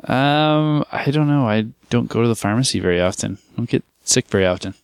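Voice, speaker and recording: A man's voice: mid-range voice, animated, brisk, creaky, smooth, dry recording. A man from Canada co-hosting a podcast, giving brief, laid-back replies in casual chat. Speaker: podcast co-host.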